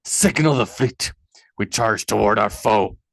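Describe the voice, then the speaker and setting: A man voicing a bold, commanding Norse warrior king who stresses each word loudly. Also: lowish voice, unhurried, vocal fry, dry recording, full character voice. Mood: defiant.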